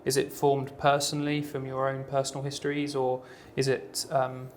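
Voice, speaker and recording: A man's voice: mid-range voice, monotone, moderate pace. A man hosting a live webinar interview, asking friendly, loosely phrased questions with many fillers. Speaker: interviewer host.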